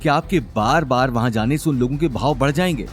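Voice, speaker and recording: A man voicing a sharp-tongued, haughty young granddaughter who complains, in an audio drama. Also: medium pitch, fairly quick, faint music behind, natural voice. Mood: objecting. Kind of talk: audio drama narrator's character acting.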